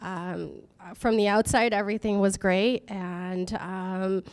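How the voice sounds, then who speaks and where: A woman startup founder telling her story at a panel talk, earnest and halting, with many fillers. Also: medium pitch, steady tone, clean tone. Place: office event space with audience.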